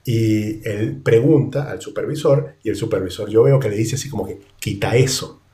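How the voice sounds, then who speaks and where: A man's voice: fairly low pitch, normal speed, dark tone. A man with a Latin American Spanish accent, telling things casually in conversation. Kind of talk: casual anecdote and chat. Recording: distant from the mic.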